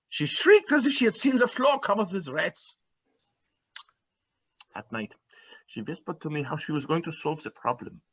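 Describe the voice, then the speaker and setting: A man's voice: a little high, a bit bright, breathy edge, no room sound, full character voice. A man acting a bleak, callous woodcutter father from a fairy tale, in a dramatic, confessional stage-play style.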